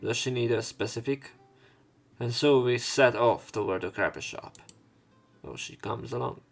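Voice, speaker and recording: A man with a medium-pitched voice narrates a visual novel for a let's play. He slips between reading lines and informal, sweary commentary, with faint music behind him. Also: unhurried, creaky. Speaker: let's play host reading the game aloud.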